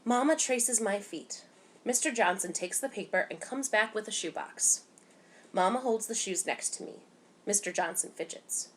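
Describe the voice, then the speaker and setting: A woman reading a picture book aloud to children in an expressive, animated way, giving emphasis to the dialogue. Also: medium pitch, normal speed, a little hiss or hum. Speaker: children's story time reader.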